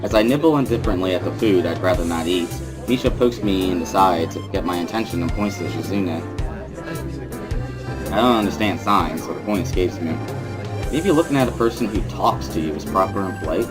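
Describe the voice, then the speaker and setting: A man's voice: deep voice, fairly quick. A man giving an understated, introspective first-person dramatic reading of a visual novel as its narrator. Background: guitar music.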